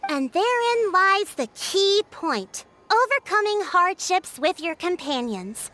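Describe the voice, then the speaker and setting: Young female game leader, very high bright voice, lively intonation, firm, encouraging, formal phrasing. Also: slight background noise, full character voice. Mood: composed, explanatory. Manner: measured, explanatory, formal. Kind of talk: video game character acting.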